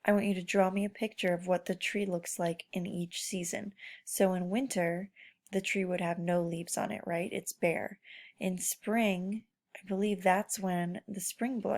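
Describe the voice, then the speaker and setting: Lady teacher doing a casual lesson for kids, lots of vocal fry, asks guiding questions. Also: mid-range voice, fairly even intonation, a little slow. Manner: instructional, asking guiding questions and prompting. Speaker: schoolteacher. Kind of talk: informal read-aloud lesson for children.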